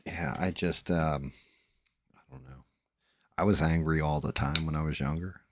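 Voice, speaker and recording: Deep blunt-talking man, cussing casually. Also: steady tone, normal speed, very noisy. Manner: blunt, swears freely, restarts sentences. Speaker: livestream host. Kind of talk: casual chat while 3D printing and painting.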